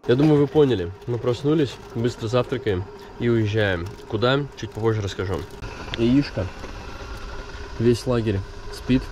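A man's voice: mid-range voice, normal speed, a little mellow. A man in his thirties chatting informally and in slang as he narrates a family travel vlog on a handheld camera. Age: 30s. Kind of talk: casual vlog narration while filming. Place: outdoors at a campsite, in a car, in a mall. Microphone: handheld camera at arm's length. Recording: noisy outdoor and in-car handheld recording. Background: ambience, vehicle and outdoor noise.

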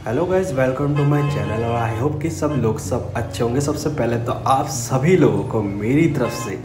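A man's voice: medium pitch, measured pace. A young man narrating a casual vlog, warning viewers in an earnest tone while talking into his phone. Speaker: vlogger. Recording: echoey with loud music behind.

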